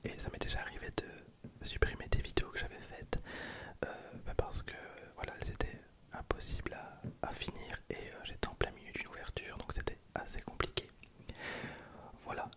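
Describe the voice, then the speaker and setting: Softly whispering ASMR video host. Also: moderate pace. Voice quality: soft breathy whisper. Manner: rambling, informal, confiding to viewers. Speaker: host of an ASMR card-opening video channel. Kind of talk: whispered ASMR, casual unscripted chat.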